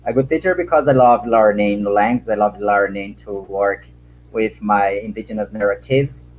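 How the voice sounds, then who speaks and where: A man from Brazil speaking English as a second language with a Brazilian Portuguese accent, answering a panel question in a halting way with many fillers and repeated words while he searches for words. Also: on the low side, highs cut off, a little hiss or hum. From Acre, Brazil.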